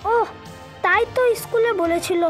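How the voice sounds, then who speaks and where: An eager little schoolboy for a children's cartoon, with an extremely high, childlike pitch. He is full of questions, and music plays clearly behind him. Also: unhurried. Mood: delighted, curious. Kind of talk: animated children's story dialogue.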